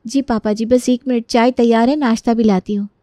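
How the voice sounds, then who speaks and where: A woman's voice: on the high side, fairly even intonation, brisk, dark tone, no echo, clean studio sound, lightly acted. A woman voicing a meek, overworked young daughter-in-law who pleads and complains in a dramatised story. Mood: dutiful.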